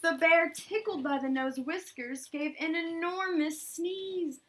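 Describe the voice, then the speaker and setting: A woman reading a children's story aloud expressively, pausing to prompt a young listener with questions. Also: very high-pitched, background noise. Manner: expressive, prompting, with dramatic gasps.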